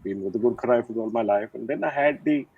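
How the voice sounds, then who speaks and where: Retired older general, unhurried and reflective, husky voice, phone-quality dry audio. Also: male voice, mid-range voice, no echo. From India. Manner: measured, reflective, many "uh" pauses. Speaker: retired army general, guest. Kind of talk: interview conversation. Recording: thin phone-like line, dry.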